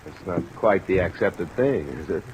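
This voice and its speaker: A cool investigator leading a vintage radio detective drama. The voice is low, and the delivery is laconic and matter-of-fact. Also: male voice, animated, moderate pace, a little mellow, a little hiss or hum, poor audio. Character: cool, laconic male freelance insurance investigator. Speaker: lead character and narrator.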